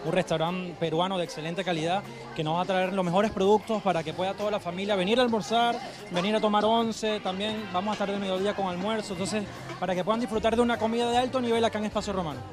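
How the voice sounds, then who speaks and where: A man's voice: high voice, fairly even intonation, fast, a crowd in the background. A man with a Chilean accent, an event organizer answering a reporter in an agreeable, eager way. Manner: eager, agreeable, repeats "claro". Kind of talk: on-camera promo interview. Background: background music.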